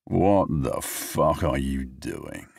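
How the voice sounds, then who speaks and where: A man's voice: a bass voice, very animated, drawn-out words, vocal fry, a little mellow, slightly rough, dry recording, full character voice. A man voicing a tired male friend who talks in a dry, mildly mocking way. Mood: weary.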